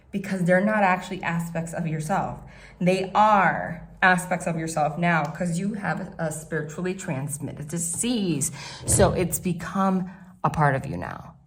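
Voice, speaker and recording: A woman from the New Jersey and New York City area with a northeastern American accent, giving a chatty, rambling tarot reading to camera at home. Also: lowish voice. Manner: chatty, rambling, frequent "like" and restarts. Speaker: tarot reader. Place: hot room at home.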